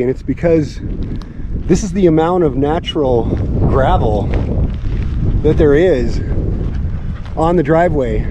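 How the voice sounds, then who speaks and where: A man in his forties walking his desert land and talking casually to a handheld camera, with a relaxed, rambling manner full of fillers. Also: fairly high pitch, noisy background. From California. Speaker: homestead vlogger. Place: outdoors on open high desert land. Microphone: camera held at arm's length.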